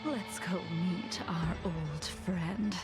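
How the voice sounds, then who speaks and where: A woman playing a cold, weary, ageless swordswoman in a video game, breathy, solemn and formal. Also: lowish voice, very slow. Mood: cool, intent. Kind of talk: English video game voice acting. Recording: game music behind the voice.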